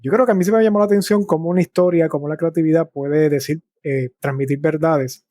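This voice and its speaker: A man's voice: fairly high pitch, fairly even intonation, fast talker, mellow, no echo, studio quality. A man from Puerto Rico with a Puerto Rican accent, talking reflectively and conversationally as an interview guest. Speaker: interview guest, speaker and artist.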